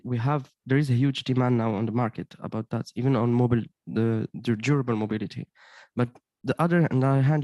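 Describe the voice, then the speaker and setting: A man's voice: medium pitch, a little mellow, no room sound. A man answering a question on a panel, explaining his point while searching for words with fillers.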